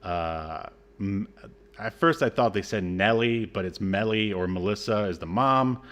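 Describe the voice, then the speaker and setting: A man's voice: lowish voice, measured pace, fairly bright tone. A man co-hosting a podcast, recapping a film in a casual, mocking and sarcastic way. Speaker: podcast co-host recapping a movie.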